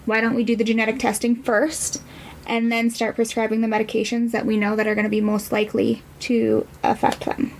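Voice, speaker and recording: A woman with a somewhat high voice and very even intonation answers in an interview. She explains things directly and matter-of-factly. A little room noise is audible behind her. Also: a little fast, vocal fry, rough recording. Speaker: guest from a suicide-loss nonprofit.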